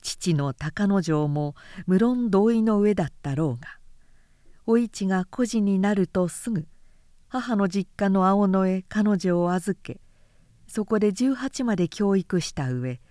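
A woman reading a literary story aloud as narrator, in measured scripted storytelling. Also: mid-range voice, animated, warm tone, no room sound, clean studio sound.

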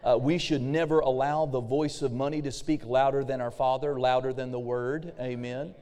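Slightly hoarse man preaching in a big hall. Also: medium pitch, steady tone, normal speed, mellow. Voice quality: a little raspy. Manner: fervent preaching with frequent amens. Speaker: pastor. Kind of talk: sermon. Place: church sanctuary. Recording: long hall reverb.

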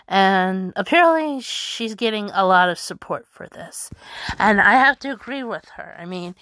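A woman hosting a wrestling fan podcast, chatting casually in a halting way with lots of fillers. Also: mid-range voice, a bit bright, no echo. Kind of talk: casual chat reading news and tweets.

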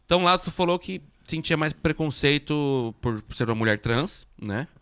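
A man with a slightly raised voice co-hosts a podcast. He talks in an animated, informal way and keeps reaching for filler words as he builds his questions. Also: a little high, normal speed, clear, resonant tone. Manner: animated, informal, repeats "tipo". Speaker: podcast co-host. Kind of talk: casual podcast conversation.

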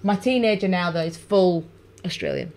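A woman's voice: fairly low pitch, wildly swooping pitch, normal speed, a little mellow. A woman with a Yorkshire accent, a guest and vlogger chatting casually and rambling a little. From England. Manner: chatty, rambling, laughs easily. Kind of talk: casual chat.

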